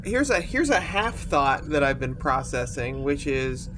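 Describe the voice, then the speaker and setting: A man's voice: mid-range voice, very animated, measured pace. A man playing a tabletop roleplaying game, chatting casually with the group. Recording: clearly noisy room background.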